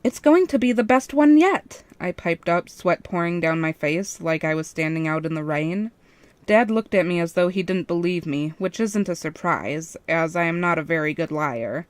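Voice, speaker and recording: A woman's voice: low-pitched, normal speed, dry recording. A woman reading a first-person fan fiction story as its narrator.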